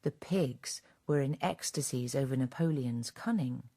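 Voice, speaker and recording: A woman narrating a radio drama in a measured, scripted storytelling manner. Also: rumbling low, dark tone.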